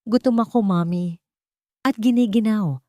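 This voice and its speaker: A woman's voice: mid-range voice, measured pace, warm tone, dry recording, studio quality, pitched above the performer's own voice, natural voice. A woman narrator voicing a weak, frightened, sick little girl in an audio story. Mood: weak, pained. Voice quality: weak, tired. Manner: pleading, fearful.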